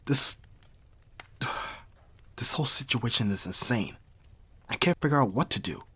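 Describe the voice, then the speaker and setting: A man acting a brooding mafia boss, hard but secretly caring, in a dramatic audio roleplay with terse, measured delivery. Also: mid-range voice, lively intonation, a bit bright, slightly rough. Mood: frustrated. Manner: terse, profane, sighing, measured.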